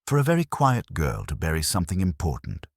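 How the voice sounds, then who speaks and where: A quiet, deliberate police inspector from an audio mystery, as a male narrator plays him, speaks in a very deep voice. He is dry and reflective, with lively rises and falls in a close, dry recording. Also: slightly rough, no echo, full character voice. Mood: quietly decisive. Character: softly spoken, thoughtful male police inspector, dry and measured.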